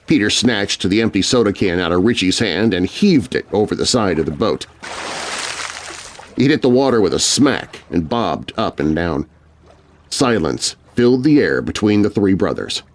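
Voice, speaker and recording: Animated low-voiced American male storyteller. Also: normal speed, slight background noise. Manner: dramatic, suspenseful. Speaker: narrator. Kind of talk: scripted spooky story narration for children.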